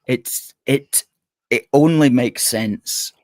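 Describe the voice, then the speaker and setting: A man from Scotland talking as a guest in a casual political chat, measured and thoughtful. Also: mid-range voice, a little mellow, dry recording.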